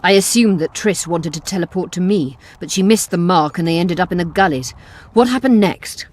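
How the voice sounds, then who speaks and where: An actress playing a self-assured, commanding sorceress in a video game, speaking briskly and formally. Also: female voice, on the low side, darkish tone, natural voice. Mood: inquiring, cool. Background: game music.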